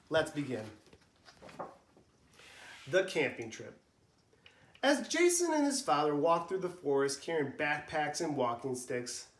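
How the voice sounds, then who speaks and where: A young male preschool teacher reads a picture book aloud in a sing-song way. His voice sits fairly high and swings widely. The room has a clear echo. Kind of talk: children's read-aloud.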